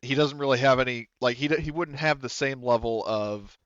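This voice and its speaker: A man's voice: mid-range voice, steady tone, a little fast, fairly bright tone, clean tone, no echo, muffled recording. A man narrating a tabletop game as game master in a casual, rambling way. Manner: rambling, loose phrasing heavy with "like".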